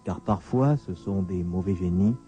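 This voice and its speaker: Radio tale narrator: man, low-pitched, deliberate and dramatic, lo-fi sound over a music bed. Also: warm tone. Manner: measured, deliberate phrasing with pauses. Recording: dull lo-fi radio recording. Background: clear music bed.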